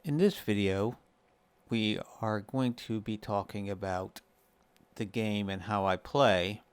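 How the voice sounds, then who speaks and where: A man with a smooth, clear voice narrates a casual gaming tutorial. He speaks very slowly and explains things in measured phrases. The sound is dry and close, with no room to it. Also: lowish voice, dry recording. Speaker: host of a gaming guide video series.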